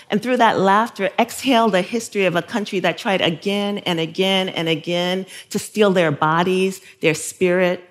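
A woman author from South Carolina speaking in a measured, thoughtful way, with soft laughs between phrases. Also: mid-range voice. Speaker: guest author. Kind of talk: reflective interview and talk excerpts.

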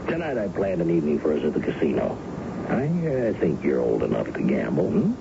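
A man's voice: a bass voice, wildly swooping pitch, brisk, gravelly, exaggerated voice. An actor playing a genial, indulgent father and company boss in his fifties, in a 1970s American radio drama. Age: 50s. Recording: dull, noisy 1970s radio broadcast.

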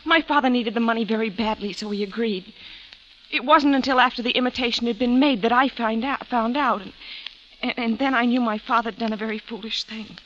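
An actress playing an earnest, defiant young woman under suspicion in an old-time radio drama, speaking deliberately and a little haltingly. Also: on the high side, fairly bright tone, natural voice. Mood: earnest, regretful. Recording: muffled vintage radio recording, faint noise.

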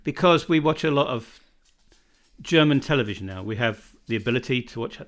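A man from Britain chatting casually as a podcast co-host. He rambles and often restarts his sentences, and his voice sits at a middling pitch for a man. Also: lively intonation, a little fast, fairly bright tone. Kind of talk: casual chat. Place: home in northern Bosnia.

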